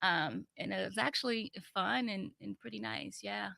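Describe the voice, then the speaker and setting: A woman being interviewed about her time on a reality show, talking in a confident and animated way. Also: mid-range voice, gravelly, dry recording. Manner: confident, animated, quick asides. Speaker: interview guest, reality TV cast member. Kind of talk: casual interview chat.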